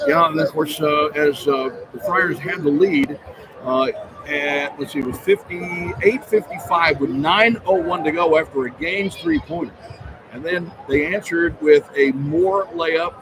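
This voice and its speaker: Higher-voiced male radio sportscaster over arena noise. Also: measured pace. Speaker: radio play-by-play announcer. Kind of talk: sports postgame recap. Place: basketball arena. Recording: live arena broadcast with clear background noise. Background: noisy arena ambience.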